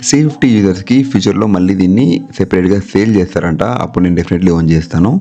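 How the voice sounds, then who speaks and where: A man's voice: sits low, fast, mellow, highs cut off. A man with a Telugu accent narrating a product review vlog, speaking directly and mixing English terms into his talk. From India. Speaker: vlogger.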